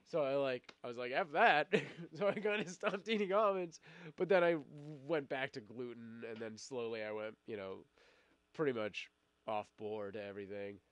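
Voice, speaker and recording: A man's voice: medium pitch, normal speed, smooth, no room sound. A middle-aged man hosting a casual live stream while fighting a cold, rambling with fillers and restarts. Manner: rambling, many fillers and restarts, laughs often. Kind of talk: casual live-stream chat, reading viewer comments.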